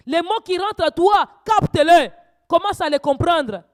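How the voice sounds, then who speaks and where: A woman preacher teaching a church sermon, swinging from quiet asides to loud, urgent exhortations. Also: very high-pitched, lively intonation, normal speed.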